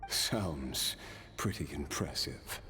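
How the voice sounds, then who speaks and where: A wistful cursed warrior in a game, real low voice that moves around a lot, wry. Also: male voice, animated, bright, forceful tone, soft background music, full character voice. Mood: wry amusement. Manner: slow, wry, reflective delivery with low chuckles. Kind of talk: dramatic game character acting.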